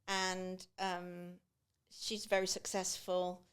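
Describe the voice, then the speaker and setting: A woman's voice: fairly low pitch, monotone, slow pace, smooth. A woman guest on a podcast, talking casually with many restarts and fillers. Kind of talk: casual conversation.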